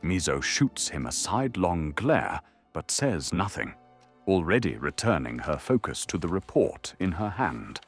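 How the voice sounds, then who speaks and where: A man's voice: low-pitched, big pitch swings, a little slow, husky, faint music behind. A man narrating an anime audio drama in a dramatic, scripted storytelling style.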